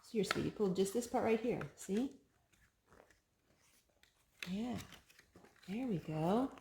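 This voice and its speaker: A woman hosting a children's storytime, reading picture books aloud in an expressive, sing-song way to a small child. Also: medium pitch, slow pace, creaky, reverberant, a little hiss or hum.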